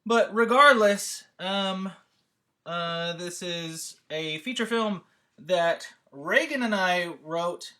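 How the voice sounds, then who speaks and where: A man hosting a livestream with a casual, informal and halting introduction. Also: high voice, pushed and bright. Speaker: host.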